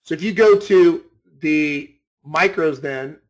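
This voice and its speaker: Higher-pitched man teaching trading casually. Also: takes it slowly, rough recording. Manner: informal, explanatory, repeats phrases for emphasis. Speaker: trading instructor. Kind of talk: casual screen-share tutorial. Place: voice-over on a screen recording.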